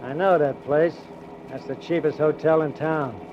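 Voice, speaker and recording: Guy playing chatty american cab driver, nosy and slangy, highish voice, old noisy radio show. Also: fairly even intonation, moderate pace, close to an everyday voice. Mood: nosy, eager. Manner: slangy, ungrammatical, inquisitive. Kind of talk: old-time American radio drama acting. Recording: dull, noisy vintage radio recording.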